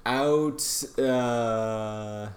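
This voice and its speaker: A young man co-hosts a casual podcast, riffing loosely with plenty of ums and likes. His voice sits at an ordinary middle pitch. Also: drawn-out words. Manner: rambling, improvising jokes with fillers. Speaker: podcast co-host. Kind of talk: casual chat.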